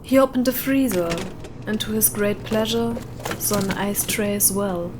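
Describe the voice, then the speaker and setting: A woman narrates an atmospheric short story at a slow, measured pace. She leaves dramatic pauses between phrases. Her voice has a middle pitch and sits over clearly audible howling wind ambience. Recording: windy howling ambience behind voice. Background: added wind or howling ambience.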